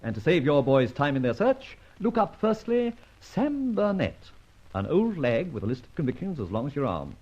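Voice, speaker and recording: An actor playing a suave, playful amateur sleuth in an old-time radio detective drama, with flowery, teasing speech. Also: male voice, on the high side, very animated, normal speed, a little mellow. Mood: jaunty, assured. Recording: dull, muffled old radio recording.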